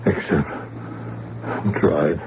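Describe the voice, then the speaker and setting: An actor playing a wounded man who can't remember his name, speaking in halting, confused fragments in an old radio drama. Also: a little mellow. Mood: dazed. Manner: halting, confused broken phrases. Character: wounded amnesiac man, dazed and fading. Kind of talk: 1950s radio drama acting. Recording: muffled old radio recording with hiss.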